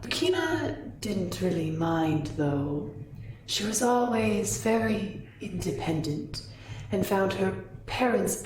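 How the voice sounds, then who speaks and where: A woman voicing a sly, sultry kitsune wizard through an echoing voice filter, narrating a scripted fantasy backstory in a measured way. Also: fairly low pitch, a little mellow, pitched below the performer's own voice. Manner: slow, measured storytelling. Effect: voice filter with echo. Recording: echoey, distant, faintly noisy.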